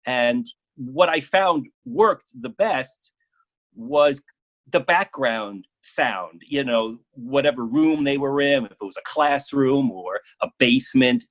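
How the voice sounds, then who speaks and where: A man speaking casually as an interview guest about his work, often halting and repeating words as he goes. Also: mid-range voice, low-quality recording.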